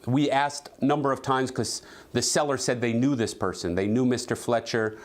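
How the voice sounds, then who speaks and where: Man, middle pitch, business owner, conversational interview answers. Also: steady tone, moderate pace. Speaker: title company owner being interviewed.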